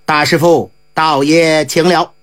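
A woman's voice: deep voice, steady tone, a little slow, pitched below the performer's own voice. A woman voicing a polite, anxious wealthy old man in his fifties in a storytelling performance, speaking humbly and courteously. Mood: humble pleading. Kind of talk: traditional storytelling.

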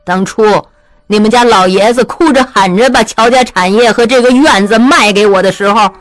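A woman's voice: a little high, lively intonation, unhurried, no echo, faint music behind, rough recording. Woman playing a bitter old rich widow. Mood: shaky, haughty. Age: older. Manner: whining, wailing complaint.

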